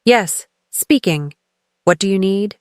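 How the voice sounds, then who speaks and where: A woman leading a scripted English lesson as a teacher, encouraging the learner and clearly enunciating model phrases for repetition. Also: mid-range voice, lively intonation, slow, no room sound, studio quality. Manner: encouraging coaching.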